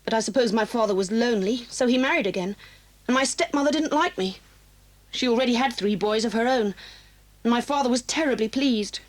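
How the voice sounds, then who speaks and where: A woman's voice: on the high side, brisk, lightly acted. A woman playing a lonely, proud, misunderstood boarding-school girl with an English accent in a children's audio drama. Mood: wistful, confiding.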